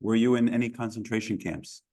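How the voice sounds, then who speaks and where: A man's voice: medium pitch, fairly even intonation, fairly quick, mellow. A man interviewing, asking brief, plain questions.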